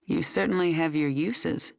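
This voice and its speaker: A woman's voice: deep voice, moderate pace, vocal fry. An actress playing a cold, decisive female queen of an alien swarm who gives commands. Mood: commanding. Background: loud game music.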